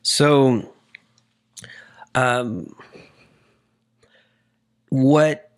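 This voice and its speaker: Male podcast host, average pitch, informal and halting, feels his way into questions. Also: clear, resonant tone. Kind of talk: casual chat, interviewing.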